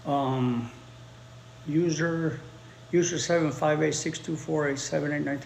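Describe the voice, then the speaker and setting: A man's voice: fairly high pitch, fairly even intonation, measured pace, vocal fry. A man whose first language is Spanish, teaching English and chatting casually with viewers on a livestream, often repeating his phrases for learners. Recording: roomy echo, faint ambience.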